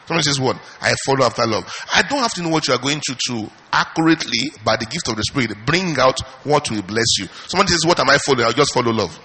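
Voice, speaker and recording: A man's voice: medium pitch, lively intonation, fast talker, hardly any pauses, sharp tone, husky. A man preaching a charismatic sermon as pastor, asking the congregation rhetorical questions and punctuating his points with hallelujahs.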